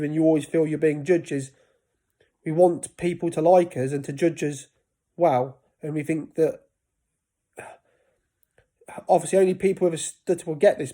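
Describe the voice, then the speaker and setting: A man's voice: a little high, mellow. A man in his thirties talking casually to camera about life with a stutter, often breaking off and restarting words. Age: 30s. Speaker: vlogger.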